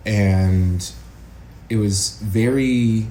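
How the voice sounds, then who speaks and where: A man's voice: fairly low pitch, drawn-out words, dark tone. A young American man chatting casually as an interview guest, with a wry, self-deprecating manner. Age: young adult. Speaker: interview guest, actor and acting educator. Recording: roomy echo, faint background noise.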